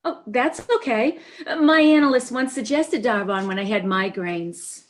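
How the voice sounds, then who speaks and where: A woman's voice: on the high side, moderate pace, lightly acted. A woman playing an anxious, sympathetic, easily overwrought neurotic wife in a stage comedy reading, fretful and emotional. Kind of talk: stage comedy readers theater.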